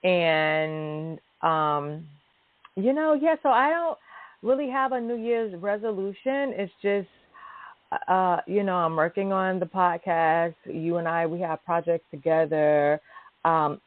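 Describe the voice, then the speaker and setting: A woman's voice: on the low side, takes it slowly, no echo. A woman in her forties hosting a casual call-in podcast, chatty and informal, often repeating herself for emphasis. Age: 40s. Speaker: podcast host. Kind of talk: casual call-in show chat. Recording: narrow phone-line sound.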